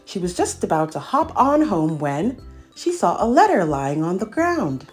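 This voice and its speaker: A woman reads a picture book aloud for young children. Her voice sits a little low but swings expressively through the lines, with asides to her listeners. Soft music plays behind her. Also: moderate pace. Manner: animated, addressing child listeners. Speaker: storyteller reading a picture book.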